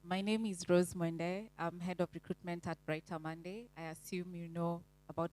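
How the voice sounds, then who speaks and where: A woman who is head of recruitment, introducing herself on a panel in a relaxed way. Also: on the low side, moderate pace.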